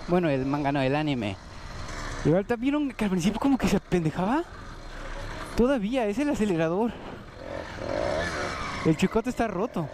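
A man's voice: sits high, very animated, normal speed, pauses often. A man with a Mexican accent chatting casually and slangily, rambling along as he rides a motorbike and records outdoors. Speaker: motovlogger. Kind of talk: casual chat while riding. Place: outdoors on a street, riding a motorized bicycle. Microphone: action camera worn by the rider. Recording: loud outdoor vehicle and street noise.

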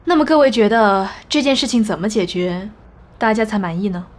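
A woman's voice: medium pitch, lively intonation, brisk, lightly acted. A woman playing a composed, diplomatic executive in an audio drama, polished and placating. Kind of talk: Chinese audio drama acting.

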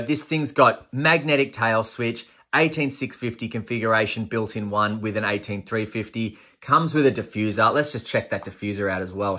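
A man's voice: mid-range voice, sharp tone. A man, in his thirties, casually reviewing flashlights and thinking aloud with enthusiasm. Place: workshop desk indoors, then outdoors at night.

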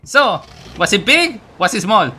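A man's voice: high-pitched, very animated, unhurried, bright, forceful tone, husky. A man from Mexico, a streamer chatting casually and joking while he plays a game. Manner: joking, laughs often. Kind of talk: casual gaming chat.

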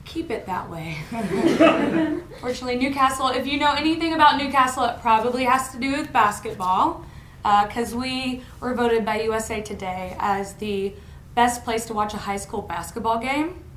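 Young female stand-up from Indiana, deadpan and self-mocking, taped from the back of an echoey hall. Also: a little high, moderate pace. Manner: deadpan, self-mocking, laughs at her own jokes. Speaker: stand-up comedian. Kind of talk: stand-up comedy set. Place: college lecture hall stage. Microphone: far camera in the room. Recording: echoey hall, audible room noise.

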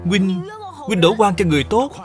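A man's voice: high voice, normal speed, a little mellow, faint music behind, voice pushed up, close to an everyday voice. A man voicing a polite, earnest young boy with formal courtesy in an educational cartoon. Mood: indignant.

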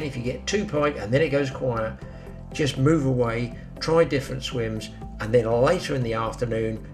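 A man's voice: medium pitch, a little mellow. An older man hosting a fishing show, giving practical tips outdoors by a reedy pond and talking straight to his viewers. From England. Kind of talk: instructional outdoor fishing talk. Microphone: camera mic, some lines from further off.

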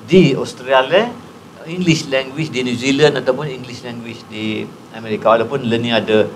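A man's voice: medium pitch, fairly even intonation, moderate pace, a little hiss or hum, low-quality recording. A retired man in his sixties with a Patani Malay accent, earnestly explaining a point in a studio interview. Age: 60s. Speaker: guest. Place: TV studio set, on a couch. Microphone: lapel mic.

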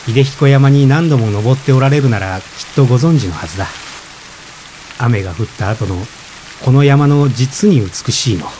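An actor playing a polite, gentle, self-effacing expectant father in reflective radio drama dialogue. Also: medium pitch, normal speed, a little hiss or hum, natural voice. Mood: gently reflective. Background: rain.

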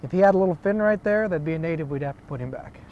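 A man with a fairly high voice gives calm, patient coaching as a fishing guide. He is recorded on an open boat on a river with a lapel mic. Boat and water noise is plainly heard behind him. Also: very fast, highs cut off. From Oregon, United States.

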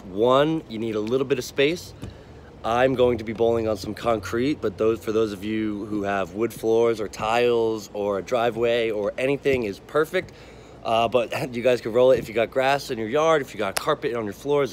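A man in his twenties with an American accent, a coach giving casual instructions to kids on a phone outdoors. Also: fairly low pitch. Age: 20s. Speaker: sports coach. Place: outdoor backyard. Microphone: phone, at arm's length, then farther away. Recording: outdoor noise, phone video.

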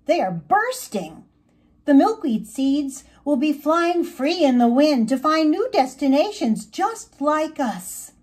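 A woman voicing a young male monarch butterfly, an earnest and caring friend, in an expressive storybook manner. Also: high voice, exaggerated voice. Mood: urgent.

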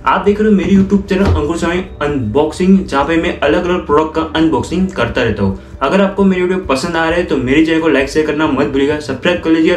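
A young man hosting his own unboxing channel, walking viewers through a product in a repetitive, explanatory way and calling them friends. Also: a little high. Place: small room indoors.

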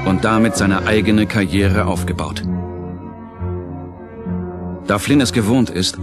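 A man narrating an audio drama from a script in a solemn, measured storytelling manner. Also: lowish voice. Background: prominent music.

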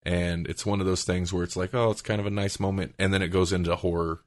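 A man with a very deep voice hosts a casual podcast. He retells things in a loose, rambling, conversational way. The recording is dry and close, with no room sound. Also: level tone, fast talker, dry recording. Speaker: podcast host.

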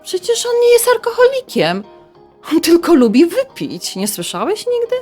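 An actress playing a chatty, warm-hearted middle-aged mother in a radio drama, with colloquial, expressive speech. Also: very high voice, moderate pace, faint music behind, full character voice. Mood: resigned. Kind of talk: radio drama dialogue, conversational.

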